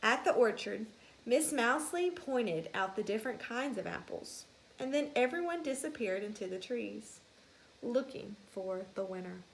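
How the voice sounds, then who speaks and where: Slightly high woman narrating a storybook. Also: expressive, gravelly, a little hiss or hum, rough recording. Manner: deliberate, emphatic storytelling. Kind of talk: expressive children's storybook narration.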